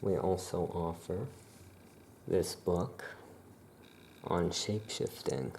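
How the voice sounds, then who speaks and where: A very low, creaky voice speaks softly in an ASMR roleplay as a calm witch shopkeeper. The delivery is hushed and very slow, with long pauses between phrases. The tone is dark and mellow. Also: slight background noise. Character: calm Salem witch shopkeeper showing wares. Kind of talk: soft-spoken ASMR roleplay.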